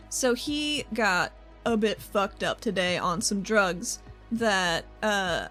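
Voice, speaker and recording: A woman playing an earnest, frank female handler in a tabletop game, explaining herself in an informal, halting way. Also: mid-range voice, animated, a bit bright, faint music behind. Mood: earnest, awkward. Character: earnest, frank female handler defending her troublesome partner.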